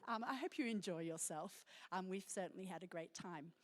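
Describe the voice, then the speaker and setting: A woman hosting a live event, welcoming the audience in a casual, slightly halting way. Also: lowish voice, slight background noise. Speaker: event host. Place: concert hall.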